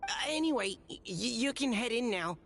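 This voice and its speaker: A woman's voice: fairly high pitch, animated, moderate pace, fairly bright tone. A woman voicing a shy, polite young boy knight in a video game, earnest and hesitant in delivery. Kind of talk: video game character acting.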